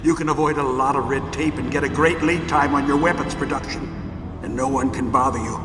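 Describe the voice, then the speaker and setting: An actor playing a wounded, cynical older arms company president in a video game cutscene, haughty and defensive. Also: male voice, medium pitch, a little fast, close to an everyday voice. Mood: smug, explanatory. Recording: echoey reverb under loud game music.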